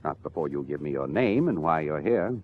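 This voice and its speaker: An actor playing a shrewd, dry police inspector in a 1950s radio crime drama, probing and sardonic. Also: male voice, extremely low pitch, expressive, fairly quick, narrow, tinny audio. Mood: dry suspicion. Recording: band-limited old radio recording, faint music.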